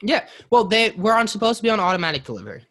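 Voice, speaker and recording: A man's voice: sits high, very animated, racing, fairly bright tone. A young American man chatting casually, swearing freely and ranting. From United States. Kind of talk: casual chat.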